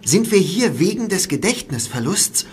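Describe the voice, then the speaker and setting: A woman's voice: lowish voice, very animated, moderate pace, darkish tone, breathy edge, heavily acted. An actress playing a suspicious, hot-headed young woman who confronts others. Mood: puzzled. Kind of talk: radio drama acting.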